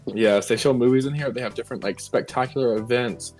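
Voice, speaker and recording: A man casually giving a joking tour of a game build, relaxed, with fillers. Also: mid-range voice, fast talker, faint music behind. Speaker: co-host touring a game build.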